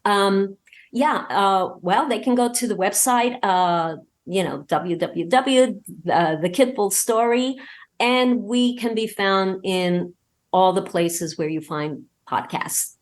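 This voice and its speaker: A woman's voice: medium pitch, takes it slowly. Female podcast guest, mid-range voice, conversational and sincere, informal interview storytelling. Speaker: podcast guest, audio drama creator. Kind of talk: casual interview anecdote.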